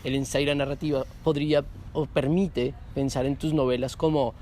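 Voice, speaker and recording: A man with an average-pitched voice conducts a literary interview. He builds long, reflective questions, reformulating his ideas along the way. A faint ambient noise runs underneath. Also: fairly even intonation, normal speed, mellow.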